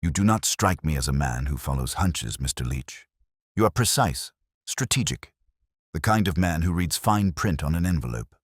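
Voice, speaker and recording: A man's voice: extremely low pitch, lively intonation, moderate pace, breathy edge, no echo, clean studio sound, exaggerated voice. A man voicing a cool, relentless detective in a storybook mystery, questioning in a level, precise manner. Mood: level, probing. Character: cool, incisive male detective, relentless and commanding. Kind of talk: audiobook detective story dialogue.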